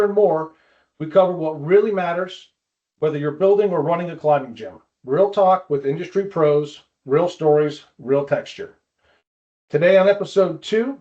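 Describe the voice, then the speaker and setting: A man hosting a podcast in a casual interview style, with a halting delivery that often repeats words. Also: sits high, darkish tone, lo-fi sound. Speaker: podcast host.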